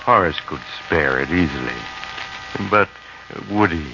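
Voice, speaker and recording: An actor playing a mysterious storyteller, narrating a radio mystery in a measured, suspenseful manner. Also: male voice, extremely low pitch, lively intonation. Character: ominous, mysterious male storyteller. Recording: muffled old radio recording, music behind.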